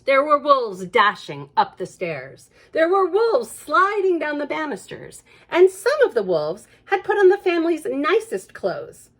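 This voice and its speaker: Role: children's librarian. Style: storytime read-aloud. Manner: expressive, chatty asides, lively lilting voice, a little high. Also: female voice, measured pace, hardly any pauses.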